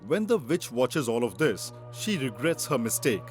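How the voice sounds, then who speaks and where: A man's voice: medium pitch, very animated, moderate pace, darkish tone, soft background music. A man narrating a children's story as a scripted read.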